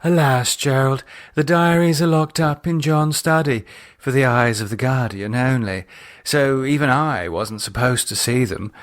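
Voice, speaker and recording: A man's voice: mid-range voice, unhurried, natural voice. A man voicing a poised, haughty aristocratic woman in an audiobook, a playful cult leader with an arch, refined manner. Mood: smug. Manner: arch, self-satisfied, refined. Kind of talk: audiobook character acting.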